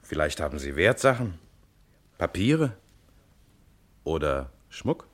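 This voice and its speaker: An actor playing a shrewd police inspector in an old German radio crime play, formal and measured with controlled courtesy. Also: male voice, rumbling low, big pitch swings, full character voice. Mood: commanding, sardonic. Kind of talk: mid-century German radio crime drama acting.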